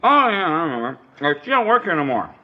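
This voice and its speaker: A man's voice: a little high, big pitch swings, quick pace. A man playing a chatty, nervous dance hall proprietor with rambling, slangy speech in an old radio drama. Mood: offhand. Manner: rambling, folksy, ungrammatical slang. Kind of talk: 1950s radio drama acting, naturalistic. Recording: dull old radio recording.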